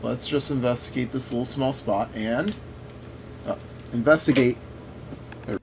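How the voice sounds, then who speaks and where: A man's voice: medium pitch, a little slow, vocal fry. Man rambling over gameplay, noisy background. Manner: rambling, thinking aloud. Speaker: gaming video host. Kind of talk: casual gameplay commentary.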